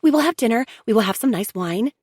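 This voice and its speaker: A woman's voice: on the high side, animated, fast talker, a little mellow, no room sound, pitched above the performer's own voice. An upbeat, insistent young woman, voiced by an audiobook narrator pleads for peace in a raised, eager voice. Her delivery is pushy yet cheerful. The recording is close and dry. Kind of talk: audiobook character voice.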